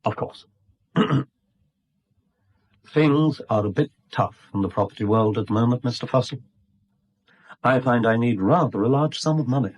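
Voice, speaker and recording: An actor playing a middle-aged English property speculator in a 1970s radio drama, mock-polite and slyly insinuating. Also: male voice, mid-range voice, moderate pace, pauses often, dull audio, lightly acted. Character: sly, insinuating small-time property speculator and blackmailer.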